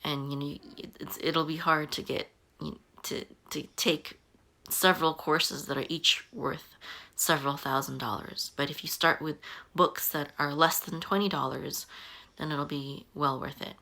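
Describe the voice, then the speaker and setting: A woman in her early forties giving casual advice to camera, halting often with ums and restarting her sentences. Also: low voice, steady tone, vocal fry, sharp tone. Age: 40s. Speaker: online business coach. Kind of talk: casual talking-head advice.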